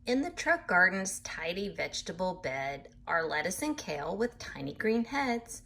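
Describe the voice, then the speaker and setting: A woman reading a picture book aloud to children in an animated way, pausing to explain things patiently in simple words. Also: on the low side, vocal fry. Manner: animated, acts out animal sounds, addresses young listeners. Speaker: read-aloud storyteller for children.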